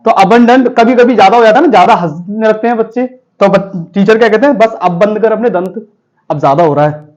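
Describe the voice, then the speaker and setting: A man's voice: sits high, a little fast, darkish tone, dull audio. A man teaching vocabulary to students in a chatty, joking classroom style, often calling them children and friends.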